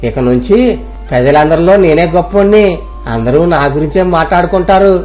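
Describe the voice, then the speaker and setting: A proud, ambitious young village man, voiced by a man, bragging about his coming greatness. His voice sits a bit high. Faint music plays behind. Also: expressive, brisk, a little mellow. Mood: boastful.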